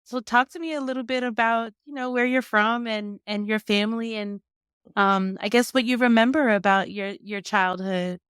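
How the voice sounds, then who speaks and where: A woman hosting a podcast, asking gentle, halting questions full of fillers. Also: on the high side, fairly even intonation, clean tone, no room sound, clean studio sound. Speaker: podcast host. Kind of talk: podcast interview.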